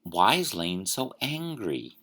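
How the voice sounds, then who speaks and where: Lady reader doing a boy wizard, voice dropped low, quiet and slow, worried questions. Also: sing-song, slightly rough, exaggerated voice. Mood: curious, uneasy. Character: young boy wizard, anxious and curious. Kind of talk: storybook reading.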